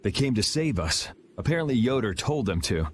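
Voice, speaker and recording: A man's voice: medium pitch, sing-song, fairly quick, a little hiss or hum, close to an everyday voice. An actor playing a sardonic, laid-back young swordsman who talks in a dry way. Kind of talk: video game voice acting.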